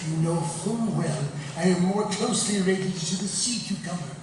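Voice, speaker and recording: Timid cartoon alien boyfriend, plaintive, easily wounded, long echo, loud background noise. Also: male voice, sits high, expressive, moderate pace. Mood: fretful. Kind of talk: animated comedy table read performed live. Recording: reverberant hall, distant mic, loud noise.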